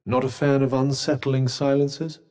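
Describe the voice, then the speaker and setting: A man's voice: mid-range voice, flat delivery, normal speed, a little mellow, lightly acted. A male actor voicing a haughty, erudite magical cuff in a video game, with a British accent and a dry, sarcastic, pompous manner. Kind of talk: video game companion dialogue.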